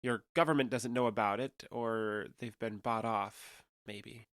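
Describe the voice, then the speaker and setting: A man's voice: mid-range voice, steady tone, measured pace, fairly bright tone, clean tone, no echo, clean studio sound, lightly acted. A man playing a young alien trader who passes as human in an audio drama, talking in a conversational, earnest and slightly hesitant way. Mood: earnest, explaining. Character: awkward, candid young male alien trader passing as human.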